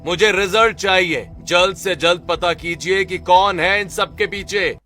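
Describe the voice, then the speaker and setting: A man voicing a humble but quietly proud young working husband in a cartoon story. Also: high voice, steady tone, unhurried, faint music behind. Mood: firm, commanding. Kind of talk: Hindi cartoon story dialogue.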